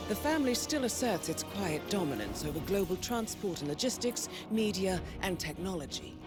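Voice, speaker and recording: An actress playing a composed, dryly witty intelligence handler giving a poised, articulate mission briefing. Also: female voice, fairly low pitch, close to an everyday voice. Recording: loud cinematic music behind.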